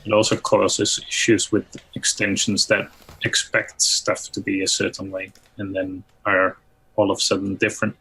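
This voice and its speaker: Low, flat-toned man giving matter-of-fact opinions. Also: moderate pace, breathy edge, poor audio. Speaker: web software expert on a livestream. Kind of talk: casual technical discussion on a group video call.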